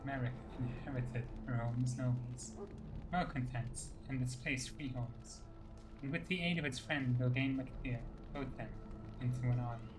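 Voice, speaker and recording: A man's voice: medium pitch, fairly even intonation, normal speed, noisy background. A man reading fantasy game dialogue choices and lore entries aloud as scripted narration. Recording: faint game music behind the voice.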